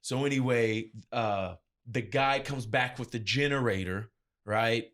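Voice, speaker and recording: A man's voice: medium pitch, a little slow, a bit bright. Male DJ being interviewed, mid-range voice, casual storyteller with emphatic, slangy delivery. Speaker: interview guest, event DJ.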